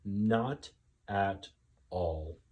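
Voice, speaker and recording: A man's voice: lowish voice, narrow, tinny audio. A man reading a children's story aloud as a storyteller, dramatic and drawn out, with chatty asides. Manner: dramatic, drawn-out delivery with pauses.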